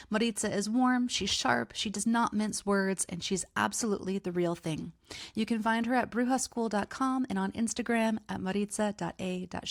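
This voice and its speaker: A woman's voice: mid-range voice, no echo, studio quality. A woman hosting a podcast, reading a scripted introduction and then chatting with enthusiasm as an interviewer. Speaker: podcast host.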